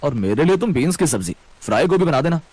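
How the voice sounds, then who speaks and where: Animated, quick-talking husband, acted by a man. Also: fairly high pitch, dry recording. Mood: demanding. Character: male in-laws, expressive husband in family story.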